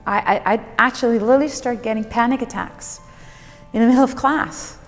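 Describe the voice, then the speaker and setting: A woman telling her personal story reflectively, unhurried and emphatic, with dramatic pauses. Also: on the high side. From Netherlands. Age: 40s. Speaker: fitness instructor and speaker. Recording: long reverberant hall, music underneath. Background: music.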